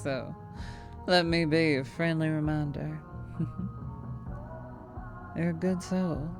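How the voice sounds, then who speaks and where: Woman playing a Southern vampire trucker, low-pitched, very slow teasing drawl, sweetly menacing, ASMR roleplay, music behind. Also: fairly even intonation. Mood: warm, sincere. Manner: slow, teasing drawl with pet names and chuckles. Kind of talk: ASMR roleplay, intimate scripted acting.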